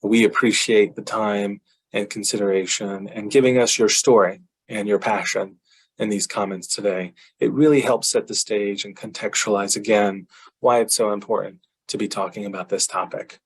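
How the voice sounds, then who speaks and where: A man's voice: fairly low pitch, fairly even intonation, normal speed, slightly rough, low-quality recording. A man moderating an online session, giving formal, grateful thanks with small repeats. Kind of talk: webinar moderating.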